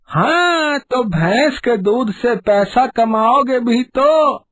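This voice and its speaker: A greedy village trader from a cartoon moral tale, acted by a man. He is domineering and boastful, stretching his words slowly in a strikingly high-pitched voice. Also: animated, fairly bright tone, heavily acted. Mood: boastful, dismissive. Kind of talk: animated children's cartoon story dialogue.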